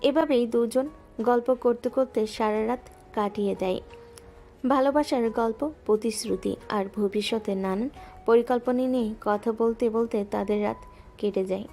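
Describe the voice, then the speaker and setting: A woman narrating a romantic audio story in a smooth, even storytelling voice. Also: on the high side, moderate pace. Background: soft background music.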